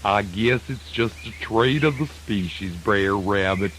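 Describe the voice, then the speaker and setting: Sly old terrapin voiced by a male actor, low and very unhurried, courteous Southern drawl, children's radio play with light background noise. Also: fairly bright tone. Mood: placid, folksy. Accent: Southern American. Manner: deliberate, folksy, courteous. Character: slow, dignified, sly old male terrapin in a folk tale. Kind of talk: children's radio drama acting. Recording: noisy old radio drama recording.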